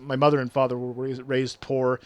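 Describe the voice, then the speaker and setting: A man's voice: medium pitch, completely flat delivery, moderate pace, clean tone, no room sound. A man with a regional French accent, a native French speaker, chatting casually with viewers on a game stream and reading their messages aloud. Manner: chatty, reads chat aloud, uses big words.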